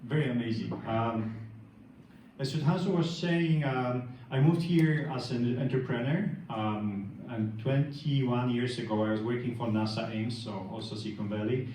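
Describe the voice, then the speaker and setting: A man's voice: mid-range voice, normal speed, a little mellow, distant mic, a little hiss or hum. A middle-aged man from Poland with a Polish accent, giving a meetup tech talk with lots of hesitant fillers and restarts. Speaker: tech speaker. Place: large meeting room. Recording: echoey room, speaker off-mic.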